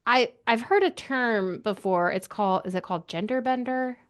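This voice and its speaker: A woman's voice: on the high side, normal speed. A woman co-hosting a book podcast in casual conversation, speaking informally and offering her opinions freely. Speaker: book club podcast co-host. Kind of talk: casual book discussion chat.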